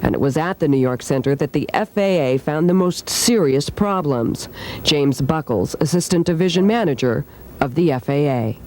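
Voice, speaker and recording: A woman investigative TV reporter narrating a news story in a measured, pointed way. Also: sits low, expressive, darkish tone, slight background noise.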